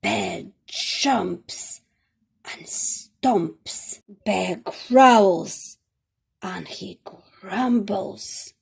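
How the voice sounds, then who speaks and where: A woman reading a picture book aloud to children with dramatic, deliberate phrasing. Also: mid-range voice, vocal fry, breathy edge. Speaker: storytime reader.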